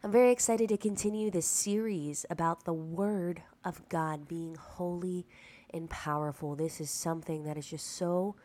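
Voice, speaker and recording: A woman's voice: lowish voice, fairly even intonation, normal speed, mellow. Earnest woman preacher, slightly sick. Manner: earnest and emphatic, with rhetorical asides to the listener. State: a little sick. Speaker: podcast host. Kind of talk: preaching-style podcast talk.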